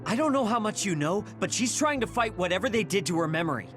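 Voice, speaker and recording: A man's voice: high-pitched, sing-song, fast talker. A man playing a prickly, impatient young soldier in a video game, blunt and irritable. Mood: insistent. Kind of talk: English video game voice acting. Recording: loud game music behind.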